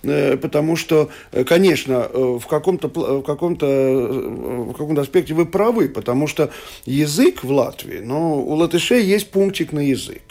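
Radio panel guest, male political commentator with a slightly higher voice who hesitates often and restarts his sentences. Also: expressive, normal speed, darkish tone. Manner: hesitant, many fillers and restarts.

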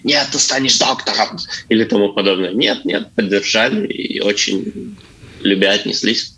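A man's voice: fairly low pitch, normal speed, sharp tone, rough recording. A young man speaking as an interview guest in casual conversation. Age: young adult.

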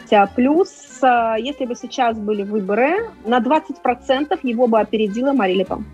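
A woman's voice: a little high, brisk, a little mellow, muffled recording, soft background music. A middle-aged woman from Russia with a Russian accent, chatting as an interview guest and tour guide in a casual, talkative way.

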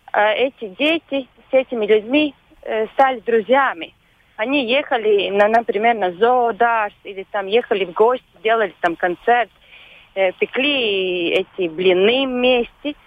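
A woman with a Latvian accent, whose first language is Latvian, speaks as a guest on a radio show by phone. She talks hesitantly and often pauses to search for words. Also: a little high, dry recording. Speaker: volunteer guest. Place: phone call into a radio studio. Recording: dull lo-fi phone line.

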